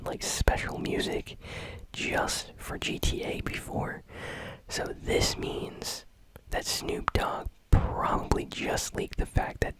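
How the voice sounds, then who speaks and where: A gaming news host whispering a casual ASMR news roundup, chatty and unhurried with frequent fillers. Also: poor audio.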